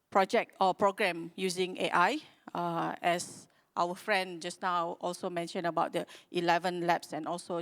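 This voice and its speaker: A woman with a Malaysian accent giving a conference presentation in a halting, hesitant way. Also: fairly low pitch, clean tone. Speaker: conference speaker from a radio broadcaster.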